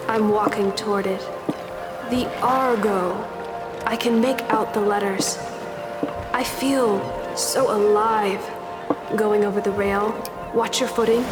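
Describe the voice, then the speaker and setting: A woman's voice: medium pitch, natural voice. Woman acting a guarded interrogator, heavy reverb. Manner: terse, sardonic, intense. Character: tough, guarded female interrogator judging a convict's appeal. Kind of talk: audio drama acting. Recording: long reverb, music underneath.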